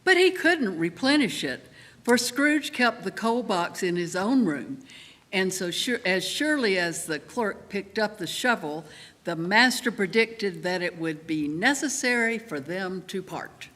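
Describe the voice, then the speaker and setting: A woman giving a deliberate, measured theatrical narration of a story. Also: mid-range voice, animated, a bit bright. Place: large church interior. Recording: reverberant hall.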